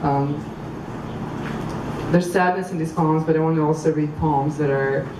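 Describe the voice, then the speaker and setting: A woman from Croatia reading poems at a public event, halting and thoughtful between poems and measured while reading. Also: low-pitched, steady tone. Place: outdoor public venue. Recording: echoey, far from mic, street noise.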